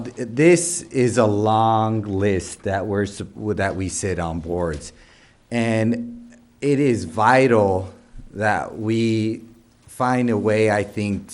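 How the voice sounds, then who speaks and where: Lower-voiced man chairing a council meeting. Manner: rambling, many restarts, lists items. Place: council chamber.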